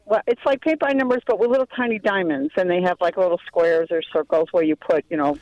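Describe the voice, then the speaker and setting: A woman's voice: fast, darkish tone, no echo. Upbeat female caller on dull phone line. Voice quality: deep voice for a woman. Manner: upbeat, effusive. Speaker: radio call-in listener. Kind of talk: casual chatty phone call.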